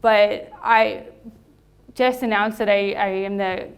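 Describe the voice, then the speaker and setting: A woman's voice: medium pitch, steady tone, takes it slowly, creaky, smooth, lo-fi sound. A woman from Los Angeles with an American accent, speaking to a seated meetup audience, with frequent ums and restarts. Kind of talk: live talk to an audience.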